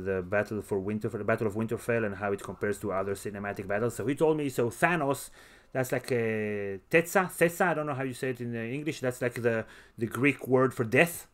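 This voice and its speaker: A man hosting a podcast in casual chat, haltingly searching for words and repeating short phrases. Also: medium pitch, fairly bright tone. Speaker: podcast host.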